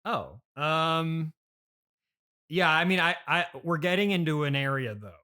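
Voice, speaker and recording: A man hosting a casual comedy interview, speaking in a halting, hesitant way as he gently reasons with his guest. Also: a little high, expressive. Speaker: podcast host.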